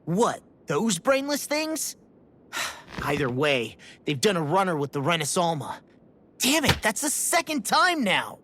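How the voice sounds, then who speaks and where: A man's voice: high voice, huge pitch swings, unhurried, sharp tone, slight background noise, full character voice. An actor playing a brash, hot-headed young man in a video game, sounding exasperated and cheeky. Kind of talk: video game cutscene acting. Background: game music.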